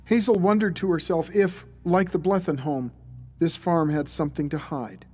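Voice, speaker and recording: A man's voice: fairly high pitch, normal speed, darkish tone. A man narrating a scripted horror audio drama. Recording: faint background ambience noise.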